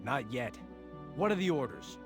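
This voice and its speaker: Man as an impatient general, very animated. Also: medium pitch, normal speed, music in the background, lightly acted. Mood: curt, questioning. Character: impatient, hot-headed American general. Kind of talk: cutscene drama.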